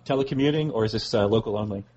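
A male podcast host asking quick, curious questions in a casual interview. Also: fairly low pitch, warm tone, lo-fi sound.

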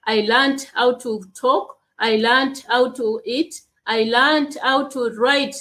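A woman speaks very slowly, pausing long between words. Her speech is halting and her voice sits a little high. She answers an interviewer in plain, earnest sentences. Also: steady tone, sharp tone. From Uganda. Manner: slow, halting speech with long pauses, from a speech impairment. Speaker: guest, leadership coach and speaker.

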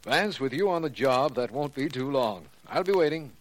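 An American actor playing an earnest, admiring district attorney in a 1940s radio drama. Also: male voice, mid-range voice, lively intonation, moderate pace, close to an everyday voice. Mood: earnest, impressed. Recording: noisy old radio recording.

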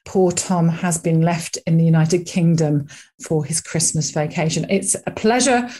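A woman's voice: low voice, measured pace, warm tone. A woman hosting an interview show, chatty and enthusiastic as she introduces and questions her guest. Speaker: interview host.

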